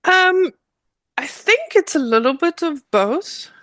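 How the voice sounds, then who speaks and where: A woman's voice: extremely high pitch, big pitch swings, slow, fairly bright tone, no room sound, studio quality. A middle-aged woman with a South African accent, telling her own story conversationally as an interview guest.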